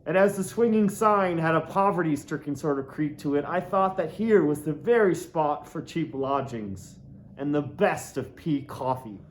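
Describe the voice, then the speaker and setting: A man reading a classic novel aloud in a deliberate, dramatic way, lingering on words. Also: a little high, a little hiss or hum. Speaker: audiobook reader. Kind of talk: literary narration, theatrical reading of a classic novel.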